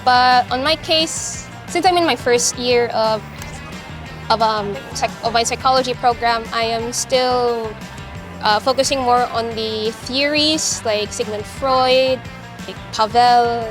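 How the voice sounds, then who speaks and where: A woman's voice: a little high, slow pace, over music. A young woman with a Filipino accent, chatting in an interview and often searching for words with a giggle. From Philippines. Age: young adult. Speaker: interviewee, psychology student. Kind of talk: casual chat. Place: coffee shop in a mall.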